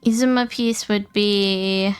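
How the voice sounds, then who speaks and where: A woman's voice: medium pitch, steady tone, takes it slowly, clear, resonant tone. A woman hosting a gaming livestream, thinking aloud casually and chatting informally with her viewers, often repeating herself. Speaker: livestream host. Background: music.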